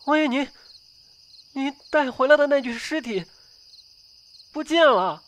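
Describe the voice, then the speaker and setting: A woman's voice: sits high, expressive, unhurried. A woman playing an anxious, deferential young servant in a Chinese costume audio drama. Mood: alarmed. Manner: deferential, flustered.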